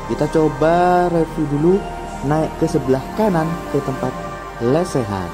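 A man's voice: on the high side, measured pace. A man, chatty and upbeat, narrating casually as he walks through a busy food court and cafe, filmed on a handheld camera. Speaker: vlogger touring a food court. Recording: loud background music over the voice. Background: loud music, crowd chatter.

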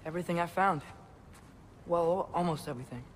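Man as an earnest, higher-pitched teenage boy. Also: a little fast, slight background noise, close to an everyday voice. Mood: defensive, earnest. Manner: eager, explaining, slightly hesitant. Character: earnest, eager teenage boy, stubbornly curious son. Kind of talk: video game cutscene acting.

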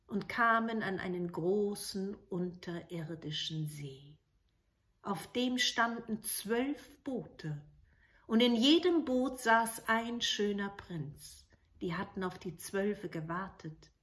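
A woman's voice: medium pitch, a bit bright. A middle-aged woman narrating a fairy tale expressively, as a storyteller. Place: indoors, living room with books.

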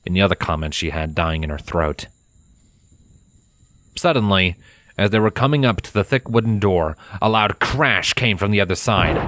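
A man with a deep voice reads fiction as a narrator. His delivery is scripted and dramatic, recorded dry and close. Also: a bit bright, dry recording.

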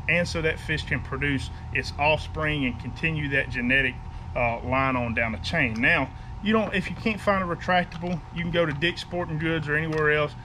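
A man, talking casually to the camera in a driveway and stopping to ask "okay?" as he runs through fishing gear. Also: a little high. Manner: folksy, emphatic, keeps asking "okay?". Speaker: fishing vlogger. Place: outdoor driveway beside a house. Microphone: camera mic at a few feet. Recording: outdoor camera audio with background noise.

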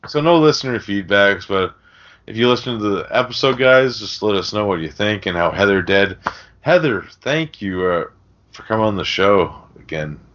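Podcast host, male, deep voice, informal and chummy, slightly muffled recording. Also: lively intonation, moderate pace, a bit bright. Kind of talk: casual podcast chat.

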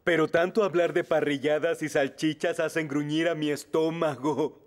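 A man's voice: on the high side, steady tone, normal speed, natural voice. A man voicing a vain, anxious young firefighter in a children's cartoon, apologetic and self-absorbed, with a Latin American Spanish accent. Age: young adult.

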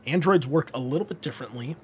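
A man's voice: medium pitch, fast talker, a little mellow. A man talking as a host and game master, explaining tabletop game rules informally and stressing key words. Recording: noticeable background noise.

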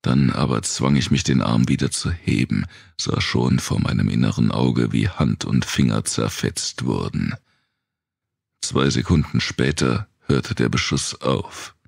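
Very deep, creaky male audiobook narrator. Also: a little slow, dry recording, clean studio sound. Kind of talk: scripted first-person narration of a dark post-apocalyptic thriller.

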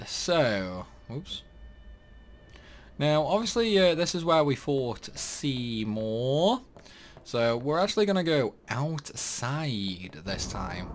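Young man, game commentator, rambling, animated pitch, casual. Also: a little hiss or hum. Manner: chatty, rambling, lots of likes and uhs. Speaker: gaming youtuber.

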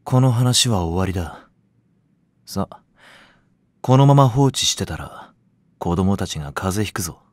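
A man's voice: low-pitched, animated, a little slow, long pauses, no echo. An actor playing a blunt, lazy, jaded male nursery teacher in a Japanese voice drama, talking offhandedly. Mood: curt, irritated. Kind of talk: Japanese anime-style voice drama.

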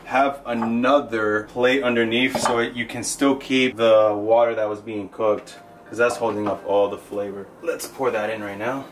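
A man's voice: lowish voice, moderate pace, a little hiss or hum. A young man from the Bronx with a New York accent, casually explaining a recipe step by step in a home kitchen. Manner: relaxed, laughs often, explains step by step. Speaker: cook showing a recipe. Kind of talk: casual cooking demonstration.

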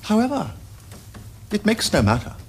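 An actor playing a flippant, teasing Victorian gentleman dandy, with an arch, theatrical upper-class English delivery. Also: high-pitched, huge pitch swings, moderate pace, noisy background. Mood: mocking, playful. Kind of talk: period stage comedy acting for television.

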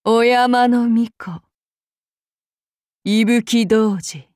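A cold, devoted samurai woman of demon blood, speaking in slow, courtly archaic phrases. Her voice sits a little high and stays soft, composed and unhurried. The recording is dry and close, with no room sound. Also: dry recording. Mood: cold, brooding. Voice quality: formal, archaic courtly speech. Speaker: game character voice actress. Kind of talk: game character voice lines.

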